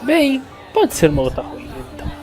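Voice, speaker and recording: A man's voice: very high-pitched, wildly swooping pitch, fairly quick. A man playing casually in character as a player in a tabletop game, with music behind.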